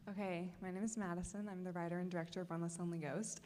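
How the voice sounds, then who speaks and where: A woman's voice: fairly low pitch, steady tone, racing, background noise. A woman filmmaker speaking earnestly and a little nervously at a festival Q&A on a theater stage. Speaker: filmmaker guest. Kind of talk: answering questions at a festival Q&A. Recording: reverberant hall with audience.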